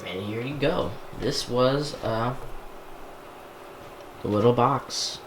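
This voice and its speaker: A man chatting casually and haltingly to himself as a hobbyist vlogger handling a device, recorded indoors. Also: medium pitch. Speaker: hobbyist vlogger unboxing gadgets. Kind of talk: casual unscripted chat while handling a device. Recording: echoey room, clear background noise.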